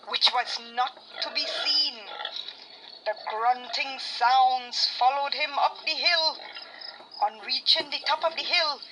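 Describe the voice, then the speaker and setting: A woman with a Trinidadian accent reading a folk tale as a storyteller, dramatic and suspenseful with deliberate phrasing. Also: sits high. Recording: clearly noisy background.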